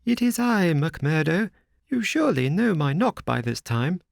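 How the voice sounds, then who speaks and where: Male narrator playing a high-voiced, anxious gentleman in a storybook reading, fretful and fussy. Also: big pitch swings, unhurried, creaky, no echo, clean studio sound, heavily acted. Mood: polite. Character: fussy, nervous middle-aged gentleman.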